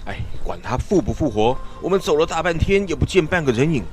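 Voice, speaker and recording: An actor playing a talkative, weary village traveler in a radio drama, speaking in an emphatic, sighing, storytelling way. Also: male voice, a little high, big pitch swings, fairly quick.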